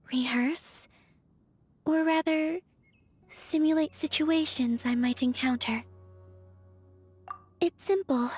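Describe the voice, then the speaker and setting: A breathy, dreamy young woman. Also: sits high, a little mellow. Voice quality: breathy, airy and whispery. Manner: slow, languid and dreamy. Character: dreamy, soft-spoken young woman, aloof and gentle. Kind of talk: video game character voice lines.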